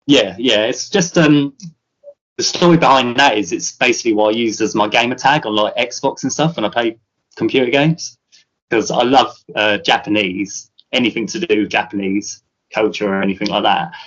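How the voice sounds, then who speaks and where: A man's voice: on the low side, normal speed, a bit bright, lo-fi sound. A British man, a musician guest, chatting casually in an interview, rambling with many fillers and restarts.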